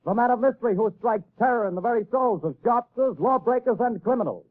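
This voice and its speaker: A man making a formal 1930s radio sponsor announcement in a booming delivery. His voice sits high for a man. The sound is a tinny old broadcast. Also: expressive, fast.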